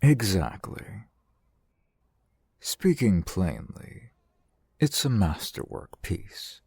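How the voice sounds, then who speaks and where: A man's voice: low voice, wildly swooping pitch, extremely slow, gravelly, mellow, husky, dry recording, full character voice. A man playing a courteous, shrewd fantasy shopkeeper in an ASMR roleplay, with formal, eloquent merchant patter. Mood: persuasive, earnest. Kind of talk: ASMR fantasy roleplay, softly acted one-sided dialogue.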